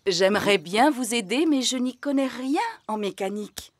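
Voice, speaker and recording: A woman's voice: a little high, normal speed, noisy background, lightly acted. A woman voicing a modest, polite mother sheep in a dubbed cartoon, with a self-deprecating manner.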